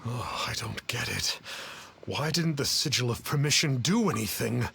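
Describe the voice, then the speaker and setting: A man's voice: medium pitch, lively intonation, normal speed, vocal fry, background noise. A man playing a boastful fake exorcist in a game, with pompous, archaic, haughty speech. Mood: baffled. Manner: pompous, archaic, calls himself "one". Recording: game music behind. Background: game music.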